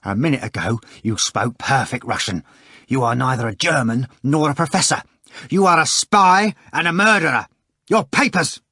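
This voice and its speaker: A man voicing an agitated young poet who shouts in a menacing, furious way. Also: mid-range voice, normal speed, breathy edge, no room sound, studio quality, voice pushed up. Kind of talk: audiobook character voice.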